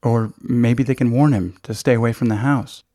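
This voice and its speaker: A man's voice: medium pitch, fairly even intonation, normal speed, warm tone, no echo, clean studio sound, natural voice. A man reading the part of a worried young college woman in an audiobook, with a firm, urging, questioning manner. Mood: concerned, urging.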